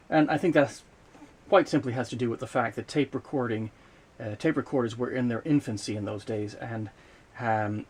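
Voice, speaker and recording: A man giving an unscripted hands-on demonstration of vintage equipment, explaining in a measured way with hesitant pauses mid-sentence. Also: mid-range voice, a little mellow, slightly rough. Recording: noticeable background noise, room ambience.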